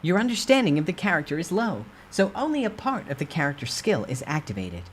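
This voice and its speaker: An impersonal game notification voice put on by a man, lifted above a normal speaking register. It announces formally and crisply within a storybook reading, over faint noise. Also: a little high, sing-song, brisk, pitched above the performer's own voice. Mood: formal announcing.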